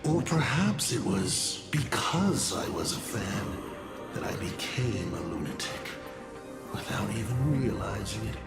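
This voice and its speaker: A chaotic game villain who taunts with theatrical relish. The delivery is slow and swoops widely, with gravelly edges, echoing over loud music. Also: male voice, lowish voice, very animated, exaggerated voice. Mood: sly, taunting. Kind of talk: scripted video game acting.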